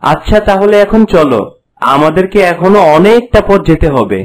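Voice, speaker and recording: A man's voice: high voice, very animated, moderate pace, poor audio. A man voicing a caring, resolute young hero in a cartoon fairy tale. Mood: caring, reassuring. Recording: music behind the voice.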